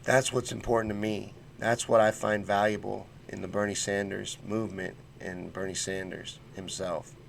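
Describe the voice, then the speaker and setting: Fired-up low-voiced man ranting on a livestream. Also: flat delivery, moderate pace, vocal fry, hardly any pauses, a little hiss or hum. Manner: blunt and fired-up, folksy slang. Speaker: political livestream host. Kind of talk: casual livestream commentary reading chat.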